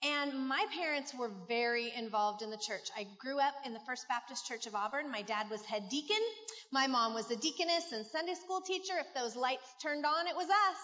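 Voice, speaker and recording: A woman's voice: sits high, moderate pace, sharp tone. A middle-aged American woman from California giving a candid, heartfelt personal testimony to a church audience. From California, United States. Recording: muffled, echoey large hall.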